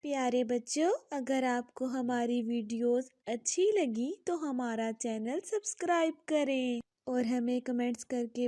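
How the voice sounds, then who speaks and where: A woman's voice: fairly high pitch, warm tone. A woman narrating a scripted children's story as the storyteller.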